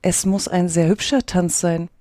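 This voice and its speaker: A curious young storybook girl, played by a woman, polite and a little timid. Her tone is gentle and mellow, in a dry, close recording. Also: lowish voice, fairly even intonation, moderate pace, no echo, lightly acted. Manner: polite, hesitant. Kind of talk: storybook character reading.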